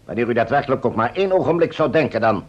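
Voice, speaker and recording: A man acting an indignant doctor in an old radio drama. His mid-pitched voice is formal and protective, on a dull, dated recording. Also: animated, very fast, natural voice. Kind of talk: vintage Dutch radio play acting.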